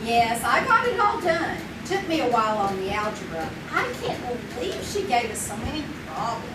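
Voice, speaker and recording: A woman's voice: fairly high pitch, sing-song, normal speed. An actress playing an eager, gushing teenage girl in love in a live old-time radio play, recorded from a distance on a theater stage. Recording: roomy live theater recording with loud noise.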